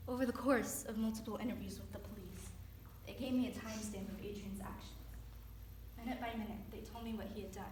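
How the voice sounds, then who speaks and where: A woman's voice: mid-range voice, fairly even intonation, fast, darkish tone, lightly acted. A woman acting a grieving, solemn mother in an anguished readers theater monologue. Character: grieving mother of a school shooter. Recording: echoey room, distant mic, faint noise.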